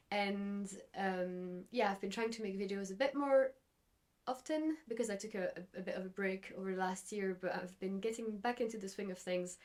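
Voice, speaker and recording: A woman's voice: medium pitch, darkish tone. A young woman from Belgium hosting a knitting podcast from her craft room at home, chatting casually and rambling with lots of fillers and restarts.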